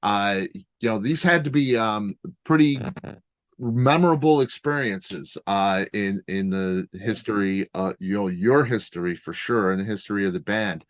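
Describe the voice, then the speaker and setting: A male interviewer with a lowish voice works through long, filler-heavy questions. His tone is chatty. The recording is close and dry, with little room sound. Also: normal speed, dry recording.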